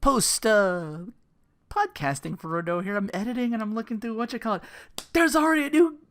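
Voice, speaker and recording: Animated, rambling gaming podcast host. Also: mid-range voice, brisk. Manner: rambling, animated asides, profane, acts out reactions. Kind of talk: casual solo gaming commentary.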